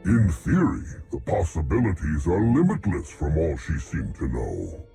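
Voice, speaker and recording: A man voicing a fussy, curious scientist in a game, husky and gravelly, with formal, erudite wording. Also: a bass voice, sing-song, a little slow, dark tone, soft background music, full character voice. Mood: admiring. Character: scholarly male scientist, curious, fussy, admiring of knowledge. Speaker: doctor and researcher. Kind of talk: video game cutscene acting.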